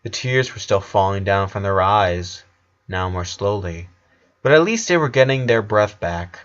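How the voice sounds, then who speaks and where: A man's voice: fairly low pitch, expressive, measured pace, a bit bright. A man reading a story aloud as scripted narration, in the role of narrator. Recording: dull lo-fi recording.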